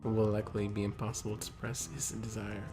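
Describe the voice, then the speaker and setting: A man talks in a fairly low voice, casually mixing reading aloud with banter. His manner is halting and wryly humorous. Music plays clearly in the background. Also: level tone. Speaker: co-host reading wiki entries aloud.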